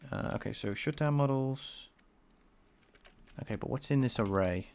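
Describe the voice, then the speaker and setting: A man's voice: mid-range voice, normal speed, gravelly, a little mellow. A man with a light Scouse accent, live-streaming game programming and chatting with viewers while he works. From England. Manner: thinks aloud, answers chat, enunciates carefully. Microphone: desk microphone.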